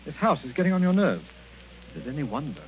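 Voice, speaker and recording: Concerned doctor, earnest, expressive, slightly high voice, muffled old radio. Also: male voice, quick pace. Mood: grave, reassuring. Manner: earnest, confiding. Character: grave, soothing, concerned gentleman doctor. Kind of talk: mid-century radio drama acting. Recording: dull, noisy old radio recording.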